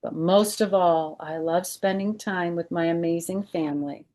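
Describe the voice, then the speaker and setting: Female storytime reader for kids, slightly lower register, expressive delivery with informal explanatory asides. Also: normal speed. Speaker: storytime host reading a picture book to children. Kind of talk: expressive read-aloud of a children's book with chatty asides.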